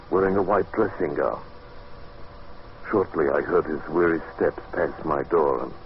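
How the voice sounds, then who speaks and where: A low-voiced man, a curious and uneasy guest recounting a mystery in measured, dramatic radio style. The sound is dull and noisy, like an old broadcast. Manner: measured, dramatic, musing delivery. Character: male narrator Buchan, curious, uneasy house guest. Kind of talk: old time radio drama acting, first-person narration.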